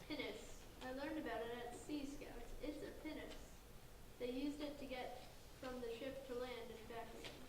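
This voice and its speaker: A woman's voice: high-pitched, fairly even intonation, normal speed, lightly acted. A woman playing an outspoken, nitpicking young scout in a staged play reading, matter-of-fact and correcting. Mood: questioning, know-it-all. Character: outspoken nitpicking kid scout, questioning the plan. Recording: very echoey, distant, noisy room.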